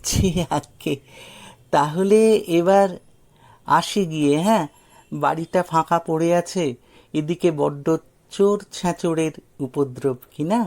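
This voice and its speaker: A man's voice: fairly high pitch, takes it slowly, long pauses, a little mellow, pitched above the performer's own voice. A man voicing a sly, obsequious village thief who rambles and hesitates as he makes excuses. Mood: sheepish, ingratiating.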